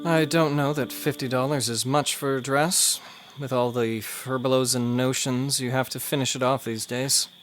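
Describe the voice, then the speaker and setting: A man playing a patient, dutiful husband in a period audio drama, restrained and gently reproachful. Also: medium pitch, steady tone, normal speed, hardly any pauses, soft background music, natural voice.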